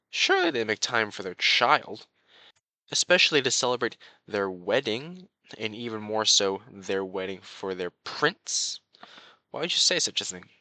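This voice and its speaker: A very bright, crisp-edged young crown prince, acted by a man, on the low side in pitch. He speaks in formal, flowery courtly phrases, besotted and quietly possessive, as in a romantic audio drama. The sound is dry and intimate. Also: no echo, full character voice. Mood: concerned, probing. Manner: formal, flowery, genteel speech. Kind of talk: romantic audio roleplay addressed to the listener.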